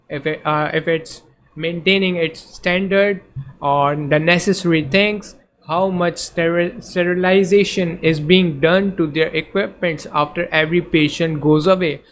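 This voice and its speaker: A male tutor whose first language is Urdu talks through a lesson in a somewhat high voice, stopping often and restarting his sentences. A faint hiss of noise runs behind him. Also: fairly bright tone. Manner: hesitant, many fillers and restarts. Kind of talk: casual lecture explaining slides.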